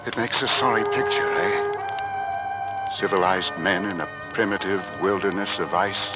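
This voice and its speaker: An actor playing a grave seafaring first mate in a theatrical, deliberate mid-century radio drama style. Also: male voice, fairly low pitch, sing-song. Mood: grim, bitter. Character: grave seafaring first mate recounting his ordeal, bashful with ladies. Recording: old radio recording with orchestral music.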